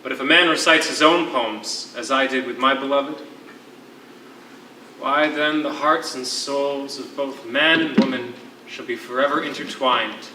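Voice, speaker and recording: A man playing an imprisoned poet in a live one-act play, eloquent and measured, with a wistful storyteller's air. His voice is strikingly sharp and projected, heard from far off in a roomy space with faint noise. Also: mid-range voice, close to an everyday voice. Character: lyrical, wistful former poetry teacher, a political prisoner. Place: theatre stage, recorded from a distance. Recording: echoey, distant stage recording with faint noise.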